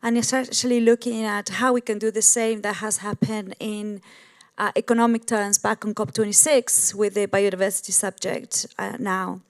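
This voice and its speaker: A woman's voice: medium pitch, steady tone, normal speed. A middle-aged woman with an Argentine accent, a native Spanish speaker, talking as a guest in a panel discussion. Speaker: panel guest, sustainability entrepreneur. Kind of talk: panel discussion, introducing own work.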